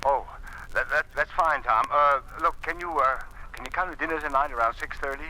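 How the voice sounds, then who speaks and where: A man's voice: mid-range voice, fairly quick, pushed and bright, a little hiss or hum, rough recording. A man playing a nervous, stammering caller heard over a telephone in a 1950s radio drama. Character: nervous man on the phone afraid of being overheard. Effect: telephone filter.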